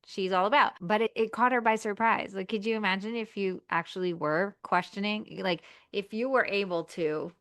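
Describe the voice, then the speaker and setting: A woman's voice: medium pitch, steady tone, normal speed, hardly any pauses, fairly bright tone, no room sound, studio quality. A woman hosting a podcast as a coach, speaking reflectively and with emphasis. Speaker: podcast host, marriage coach. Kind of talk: casual conversation.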